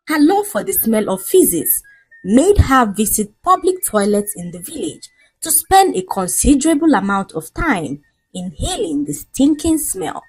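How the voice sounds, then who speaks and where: A woman's voice: on the high side, breathy edge, rough recording. A woman narrating a folktale in a scripted, dramatic storytelling style.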